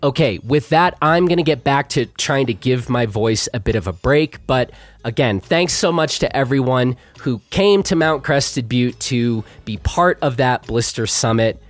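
Hoarse male podcast host, chatty. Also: mid-range voice, expressive, unhurried, no room sound, soft background music. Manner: chatty, self-deprecating, many fillers. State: hoarse, losing his voice. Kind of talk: casual podcast intro and live chat.

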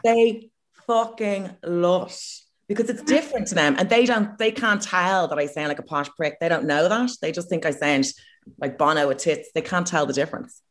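A woman's voice: fairly low pitch, moderate pace. A woman with an Irish accent, co-hosting a casual comedic chat, frank and self-mocking. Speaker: podcast co-host.

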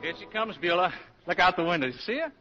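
Pushy smooth-talking professor, lightish male voice, very lively, old radio sound. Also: sits high, fast talker, over loud music. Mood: flirtatious, eager. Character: suave, flirtatious male college professor, persistent and self-assured. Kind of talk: 1940s radio comedy acting. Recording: muffled 1940s radio broadcast.

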